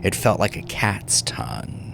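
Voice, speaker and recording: Deep, gravelly male horror narrator. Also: big pitch swings, unhurried. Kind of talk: dramatic horror fiction narration. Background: music under the voice.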